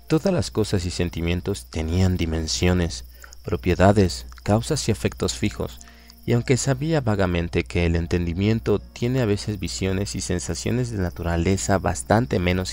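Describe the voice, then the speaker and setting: Male narrator, Latin American accent, very low voice, slow and grave audiobook reading. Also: a little mellow. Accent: Latin American Spanish. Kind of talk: scripted literary narration.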